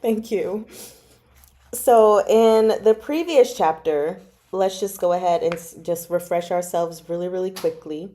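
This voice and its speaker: A woman with a chatty, giggly, expressive way of talking, casually hosting a livestream book club. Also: lowish voice, unhurried. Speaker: host of a book club reading stream. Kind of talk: casual livestream chat about a novel.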